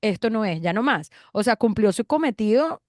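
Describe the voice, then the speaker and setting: A woman co-hosting a podcast, chatting casually and personally in an expressive manner. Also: fairly high pitch, moderate pace, no room sound, clean studio sound.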